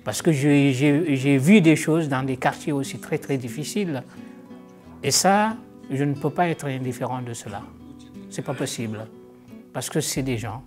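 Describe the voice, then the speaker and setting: A man from talks as a visual artist in a documentary interview. He is earnest and reflective, pausing to find his words. His voice sits at a middling pitch, with soft guitar music faint behind him. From Guinea-Bissau.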